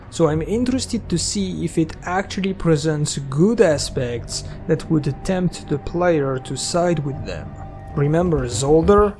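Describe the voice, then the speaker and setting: A man with a somewhat high-pitched voice reads scripted commentary as a video narrator. His delivery is measured and explanatory. Game soundtrack music plays behind him. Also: darkish tone. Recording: music under the voice.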